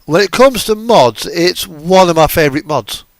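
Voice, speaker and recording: A man's voice: sits high, animated, normal speed, dry recording. A man giving casual, unscripted commentary on a driving game, rambling and thinking aloud as he goes. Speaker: gaming video host.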